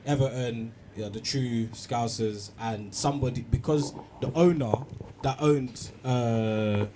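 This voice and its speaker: A man's voice: medium pitch, takes it slowly, noisy background. A young man with a London accent co-hosting a show, telling stories and bantering in casual slang. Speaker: co-host.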